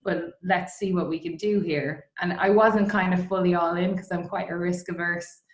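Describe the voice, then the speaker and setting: A woman's voice: fairly low pitch, steady tone, moderate pace. A middle-aged woman with an Irish accent chatting informally as an interview guest about her own career. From Dublin, Ireland. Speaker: interview guest, distillery co-founder. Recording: roomy, echoey sound.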